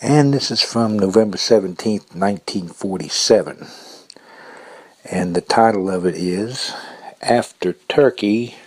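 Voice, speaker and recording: Older man, low husky voice, casual radio host, dry studio sound. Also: measured pace, no room sound. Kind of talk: casual presenting between old radio programs. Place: small radio studio, indoors. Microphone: studio mic on boom arm, headset.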